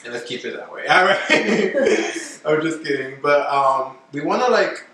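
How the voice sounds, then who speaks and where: A man's voice from a very echoey room, set back from the microphone. He talks rather slowly as he hosts a casual podcast, full of slangy, cheeky banter and giggles. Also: fairly high pitch, sing-song, pushed and bright. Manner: sassy, slangy, profane banter. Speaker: podcast host. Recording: echoey room, far mic, faint noise.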